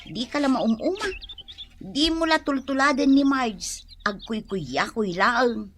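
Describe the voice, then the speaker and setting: A woman's voice: a little high, expressive, slow, a little hiss or hum. A woman playing a gossipy, eager neighbour in an Ilocano Filipino radio comedy drama. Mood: gossipy, needling.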